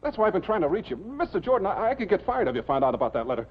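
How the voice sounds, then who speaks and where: Nervous guard, talks fast, apologetic, pitch jumps around, old muffled tv. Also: male voice, very animated. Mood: anxious, apologetic. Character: apologetic, anxious male sanitarium guard. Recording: dull, muffled 1950s television soundtrack.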